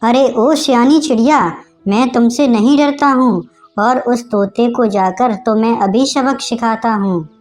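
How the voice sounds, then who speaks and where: A woman's voice: fairly high pitch, unhurried, a little mellow, faint music behind, close to an everyday voice. A woman voicing a brazen, quarrelsome male crow in a cartoon moral story, with a taunting, defiant manner. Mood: defiant, threatening.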